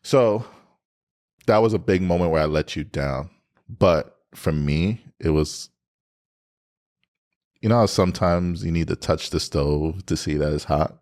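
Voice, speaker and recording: A man with a deep voice co-hosting a podcast, candidly retelling a story and acting out his own past lines. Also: measured pace, pauses often, darkish tone. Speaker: podcast co-host. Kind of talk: candid storytelling in casual chat.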